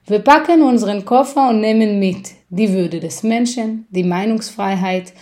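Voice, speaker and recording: A woman from Israel, a theatre director, reading a personal letter aloud in an earnest, measured way with small restarts. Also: medium pitch, mellow.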